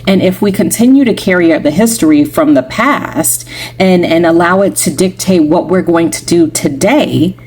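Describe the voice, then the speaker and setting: Chatty woman with a deep voice. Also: normal speed, darkish tone, husky. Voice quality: deep voice, groggy when tired. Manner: chatty, playful asides, rhetorical questions. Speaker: spiritual reader. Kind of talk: casual motivational talk to camera.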